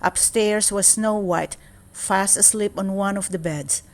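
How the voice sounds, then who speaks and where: Measured woman reading a fairy tale aloud. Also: medium pitch. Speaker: storyteller. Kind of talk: read-aloud children's fairy tale narration.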